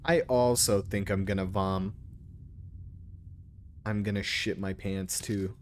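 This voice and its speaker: A man's voice: fairly low pitch, fairly even intonation, slow pace, a little hiss or hum. A man giving casual, sarcastic and sweary live commentary as he plays a video game. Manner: sarcastic, profane.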